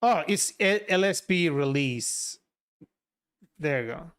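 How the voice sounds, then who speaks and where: A man's voice: fairly high pitch, animated, slow pace, a bit bright, clear, resonant tone. A man with a Turkish accent and native Turkish, chatting casually on a livestream as he works and answers viewers. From Turkey. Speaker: livestreamer, software developer.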